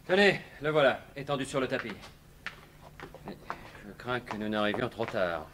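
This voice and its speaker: An actor playing a commanding, inquisitive gentleman sleuth in a French radio mystery, polite and assured. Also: mid-range voice, lively intonation, moderate pace, background noise, close to an everyday voice. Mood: grave, assured. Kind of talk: 1950s-style French radio mystery acting.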